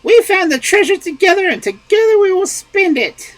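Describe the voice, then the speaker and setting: A voice performing a nagging old peasant wife in a storybook reading, with a shrill, exclamatory manner. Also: female voice, big pitch swings, moderate pace, no echo, poor audio. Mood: scolding.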